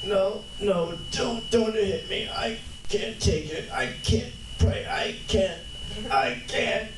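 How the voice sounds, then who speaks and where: A man's voice: high-pitched, slow. A man playing a blind, frightened old beggar who pleads in an amateur radio play. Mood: delirious fear. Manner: pleading, protesting. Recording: roomy, distant, noisy live panel recording.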